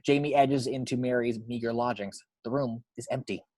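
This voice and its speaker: A man narrates the action lines of a screenplay. His voice is medium in pitch and recorded dry, without room sound. He tells it in a measured way that builds suspense. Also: steady tone, warm tone, dry recording. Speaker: narrator reading action description.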